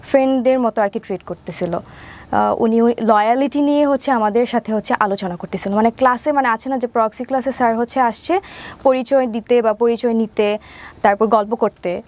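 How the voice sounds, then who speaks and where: A woman's voice: fairly high pitch, fairly quick, darkish tone. A woman of around twenty from Mymensingh with a Bangladeshi accent, telling her life story conversationally as an interview guest, step by step with habitual filler phrases.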